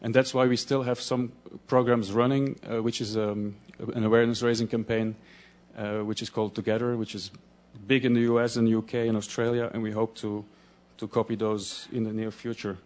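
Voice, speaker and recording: Halting man presenting. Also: on the low side, flat delivery, a little mellow. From Belgium. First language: Dutch. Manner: halting, searching for words, frequent restarts. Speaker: conference presenter. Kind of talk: conference presentation.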